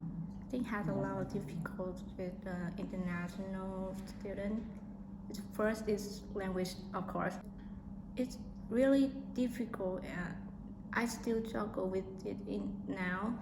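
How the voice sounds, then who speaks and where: Slow, halting young woman. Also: mid-range voice, fairly even intonation. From Vietnam. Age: young adult. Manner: halting, searching for words. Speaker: interviewed student. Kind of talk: casual interview answers. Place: indoor studio room with a cartoon backdrop. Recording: echoey room with noisy background.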